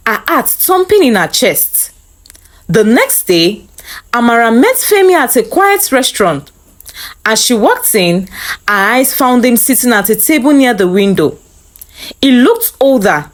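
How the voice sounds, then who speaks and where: A woman's voice: on the high side, very animated, measured pace. A woman reading a scripted dramatic story as narrator.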